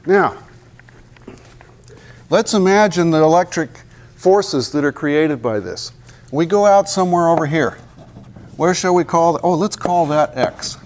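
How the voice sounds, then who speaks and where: A man's voice: on the high side, a little slow, pauses often, slight background noise. A man in his sixties giving a university physics lecture in a large hall, wearing a lapel mic, joking and asking the class rhetorical questions as he walks to the chalkboard. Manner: walks and points at the chalkboard while talking.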